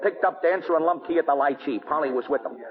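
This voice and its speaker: Actor as a tinny-sounding, brusque radio police lieutenant. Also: male voice, fairly high pitch, fast, close to an everyday voice. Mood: brisk, matter-of-fact. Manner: brusque, slangy. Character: brusque, slangy police lieutenant, eager sidekick to the sleuth. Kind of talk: 1940s radio drama acting. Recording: tinny, narrow 1940s radio recording.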